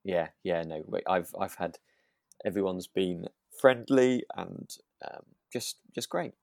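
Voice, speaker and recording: A man co-hosting a podcast, chatting casually and feeling his way through thoughts with halting, repeated phrases. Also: deep voice, gravelly, no echo, clean studio sound. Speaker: podcast co-host. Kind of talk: relaxed casual chat.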